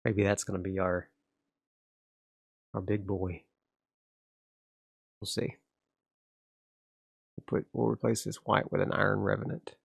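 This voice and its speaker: Low-ish gravelly guy talking thru his solo tabletop game, laid back, lots of restarts, dry sound. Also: unhurried, long pauses, dry recording. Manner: relaxed, rambling, frequent restarts. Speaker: solo game player and narrator. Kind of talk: casual solo tabletop play, thinking aloud.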